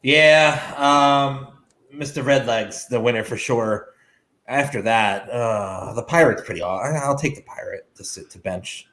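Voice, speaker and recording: A man's voice: medium pitch, unhurried, a bit bright. A man giving joking opinions in casual sports banter as a panelist on a talk show.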